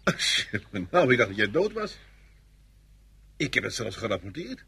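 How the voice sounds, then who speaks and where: An actor playing a brash soldier in a radio drama, talking bluntly and defensively. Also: male voice, medium pitch, very animated, normal speed, gravelly, sharp tone, husky, heavily acted. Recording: noisy radio drama recording.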